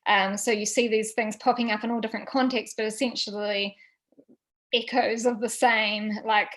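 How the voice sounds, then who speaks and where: A woman from New Zealand, a seminar presenter answering questions thoughtfully on a video call, with light laughs and many pauses. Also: fairly high pitch, level tone, fairly bright tone. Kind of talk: academic Q&A discussion.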